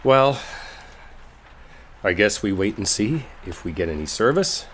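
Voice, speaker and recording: A man acting a brash, sarcastic young guy in a roleplay audio drama, with a low voice that swings in an animated way. He talks casually and swears, like an exasperated coworker bickering one-on-one, over faint background noise. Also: measured pace. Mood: resigned, practical.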